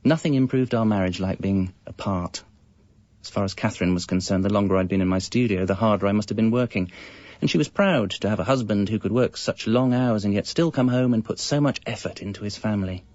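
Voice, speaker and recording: A low-voiced husband and young father played by a man tells his story for a radio comedy. He is wry, ironic and deadpan, as if confessing. The sound is close and dry but slightly muffled. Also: fairly quick, no room sound, natural voice. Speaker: narrator and lead character. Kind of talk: scripted comic radio monologue. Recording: muffled, band-limited audio.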